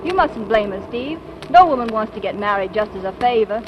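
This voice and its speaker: A woman's voice: a little high, lively intonation, a little fast, dull audio, background noise, lightly acted. An actress playing a composed but hesitant young woman in 1940s radio comedy style, on an old broadcast. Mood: apologetic.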